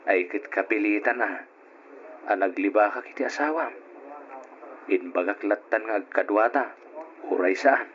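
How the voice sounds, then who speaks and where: An actor with an Ilocano accent playing a candid friend who scolds and advises in an old radio drama. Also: male voice, fairly low pitch, normal speed, long pauses, lightly acted. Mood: admonishing. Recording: lo-fi radio drama, faint noise.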